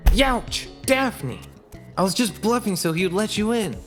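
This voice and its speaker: A man's voice: high-pitched, animated, a little slow, faint music behind. A man acting as a nervous, sputtering, bumbling uncle in an audio drama. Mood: pained, pleading.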